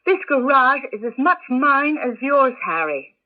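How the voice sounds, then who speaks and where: High-voiced, exasperated nagging housewife. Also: female voice, sing-song, a little slow, full character voice. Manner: scolding, plaintive. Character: exasperated, nagging housewife, scolding her menfolk. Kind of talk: 1980s radio drama acting. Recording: dull, band-limited old radio broadcast.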